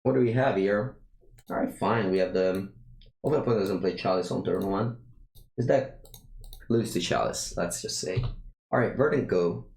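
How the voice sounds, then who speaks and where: A young Italian-accented man with a deep, low voice talks through his card game live, stumbling and restarting as he thinks aloud. His voice carries a roomy echo. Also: normal speed, vocal fry, pauses often, darkish tone. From Italy. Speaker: streamer playing a card game. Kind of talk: casual gameplay commentary.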